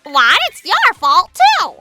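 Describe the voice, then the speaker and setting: A woman playing a haughty, callous, easily flustered middle-aged aunt, the villain of a manga dub, in a sharp, melodramatic manner. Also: high voice, huge pitch swings, takes it slowly, over loud music, full character voice. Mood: shocked, defensive. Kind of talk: anime-style manga dub acting.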